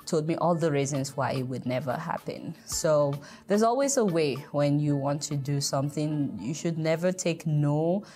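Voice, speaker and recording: Earnest woman journalist, lowish voice. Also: moderate pace, few pauses, warm tone, soft background music. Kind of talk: interview and speech.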